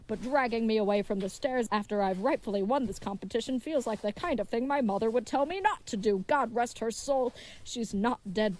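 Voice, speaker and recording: A woman's voice: a little high, a little mellow, natural voice, poor audio. A woman playing a tall, earnest, dim-witted knight in a comedic fantasy audio drama, rambling with naive, formal courtesy.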